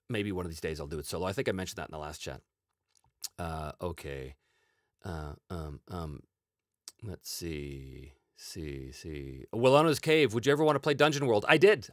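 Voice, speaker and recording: A man's voice: on the low side, fairly bright tone, dry recording, studio quality. A Canadian man in his forties, a host answering viewer questions in a rambling, chuckling casual chat from a small home room. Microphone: headset-style, webcam framing.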